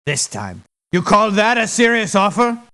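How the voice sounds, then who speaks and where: An actor voicing an exasperated, dismissive, sarcastic mercenary in a video game. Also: male voice, high-pitched, big pitch swings, normal speed, pushed and bright, exaggerated voice. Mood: fed up. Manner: sarcastic, scornful. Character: exasperated, dismissive, sharp-tongued male mercenary. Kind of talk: video game character voice lines.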